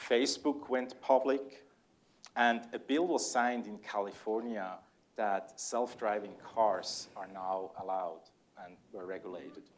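A man with a Swiss German accent moderating a panel discussion in a lecture hall, courteous and lightly self-deprecating. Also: mid-range voice.

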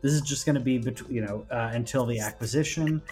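A man's voice: mid-range voice, brisk, darkish tone, faint music behind. A man co-hosting a gaming news show in a loose, friendly, informal conversational way. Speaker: gaming news podcast co-host. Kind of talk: casual chat.

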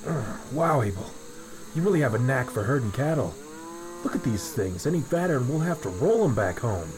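Casting: male actor as teasing, good-natured dad, medium pitch, animated, fantasy audio drama, heard among buzzing insects. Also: fairly quick, background noise, close to an everyday voice. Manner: jocular, placating. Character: easygoing, teasing family father. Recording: distant voice, loud insect ambience.

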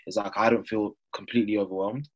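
A man's voice: on the low side, fairly even intonation, fast talker, husky, dull audio. A young man, talking casually as an interview guest and dotting his phrases with "yeah". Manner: informal, punctuates phrases with "yeah" and "you know". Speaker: interview guest, business owner.

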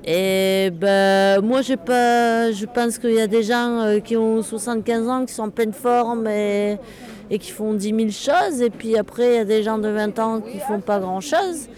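A woman in her fifties with a slightly high voice muses casually in a street interview. She reasons out loud, working through her point as she goes. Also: fairly even intonation, measured pace. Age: 50s. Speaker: passerby interviewed.

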